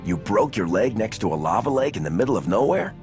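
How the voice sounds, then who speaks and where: A man playing a composed male squad commander with a calm, dry manner in a video game. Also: on the low side, fast talker, soft background music. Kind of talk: video game cutscene acting.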